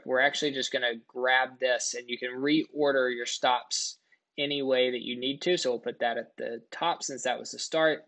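A man's voice: medium pitch, a bit bright. A man giving a casual, step-by-step screen-recording tutorial voice-over as the presenter of a product walkthrough.

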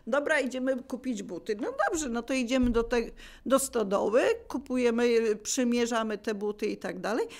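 Older woman, slightly high voice, casual reminiscence, local resident, searching for words. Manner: searching for words, repeating herself to explain. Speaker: local resident sharing memories.

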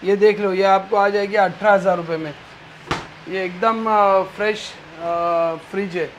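High-voiced man pitching warehouse deals. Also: fairly even intonation, measured pace, a bit bright, a little hiss or hum. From India. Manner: pitches prices eagerly, calls everyone bhai. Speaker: warehouse seller showing stock. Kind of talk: energetic sales walkthrough. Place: cluttered indoor electronics warehouse. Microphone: lapel mic.

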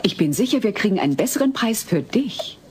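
A woman's voice: medium pitch, very animated, fairly quick, darkish tone, natural voice. A woman playing a firm, exasperated mother in a dubbed sitcom audio play, stern and indignant. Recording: slightly noisy old cassette dub.

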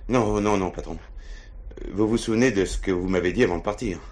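An actor playing an embattled, blunt police inspector in a French radio play, colloquial and agitated. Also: male voice, low voice, fairly quick, a little mellow, slight background noise, close to an everyday voice. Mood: anxious, bitter. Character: embattled, blunt male police inspector, loyal to his boss.

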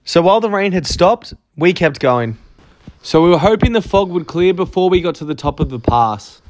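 A man's voice: sits high, expressive, normal speed. A man narrating his travel vlog in a wry, upbeat voice-over. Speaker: travel vlogger. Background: music.

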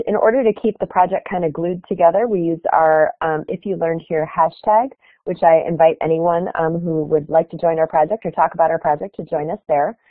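A woman's voice: deep voice, steady tone, fairly quick, dry recording. Woman presenting over a tinny call line. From Chicago area, United States. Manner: earnest, explanatory, with fillers. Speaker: keynote speaker. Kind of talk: webinar presentation. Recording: tinny phone-like online call audio.

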